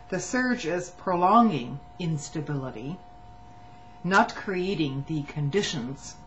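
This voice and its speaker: A woman reading a written political statement aloud in a measured, deliberate and formal manner. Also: sits low. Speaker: reader of a testimony. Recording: dull, noisy lo-fi recording.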